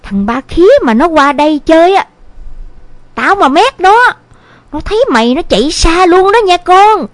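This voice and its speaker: A woman's voice: extremely high pitch, lively intonation, normal speed, no room sound. A woman voicing a scolding, exasperated middle-aged village mother in a dramatised audio story, with a southern Vietnamese accent and exclamatory delivery.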